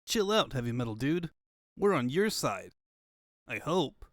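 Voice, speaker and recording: Brash young male hedgehog hero for a comic dub, voiced by a man: cocky, slangy, wisecracking, very animated. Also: mid-range voice, unhurried, dry recording, clean studio sound. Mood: flippant. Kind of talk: comic-book fandub cartoon acting.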